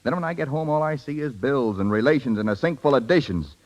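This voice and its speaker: Guy acting a fed up proud american husband, 1930s radio drama, quick talker, really animated. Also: medium pitch, close to an everyday voice. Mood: bitter. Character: hot-tempered young husband, proud and fed up.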